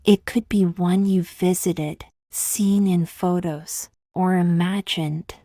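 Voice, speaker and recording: A woman leading a guided meditation in a calm, gently measured, scripted style with long pauses between phrases. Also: on the low side, dry recording, clean studio sound.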